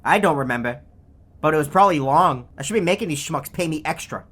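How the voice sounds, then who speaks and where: An actor playing a snarky, self-aware video game character who taunts the player with sarcastic, condescending, deadpan lines. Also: male voice, fairly high pitch, fast, breathy edge. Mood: bored sarcasm.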